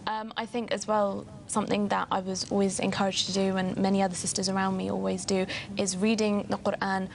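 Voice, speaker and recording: A woman's voice: mid-range voice, level tone, a little fast, creaky, few pauses, a little hiss or hum. A woman on a discussion panel, speaking with enthusiasm and lots of filler phrases. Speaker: panel guest. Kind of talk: casual discussion.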